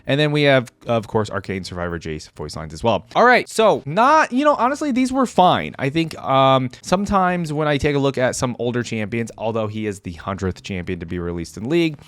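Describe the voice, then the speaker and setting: A man's voice: mid-range voice, big pitch swings, brisk, few pauses, a bit bright, dry recording. A man hosting a reaction video, talking casually and off the cuff in a rambling, conversational way. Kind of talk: casual unscripted video commentary.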